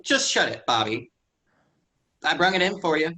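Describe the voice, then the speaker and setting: A man's voice: on the high side, sing-song, moderate pace, pushed and bright. A man playing Tim, a touchy, defensive young man in a staged play reading. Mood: prickly. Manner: short, prickly replies.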